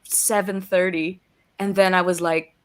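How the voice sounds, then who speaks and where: A woman's voice: on the low side, level tone, measured pace. A young woman guest chatting casually on a video call, giggly and self-deprecating, with many fillers. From born in Singapore, raised in Barbados and the Philippines. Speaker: guest, actor and singer. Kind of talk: casual interview chat.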